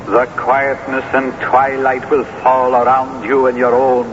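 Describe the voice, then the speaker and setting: Man as a slow, echoing ghostly seance voice. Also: medium pitch, completely flat delivery, lightly acted. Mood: solemn, otherworldly. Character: solemn ghostly seance voice posing as Walter Scott. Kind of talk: slow incantatory radio acting. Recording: long reverb, dull noisy old radio.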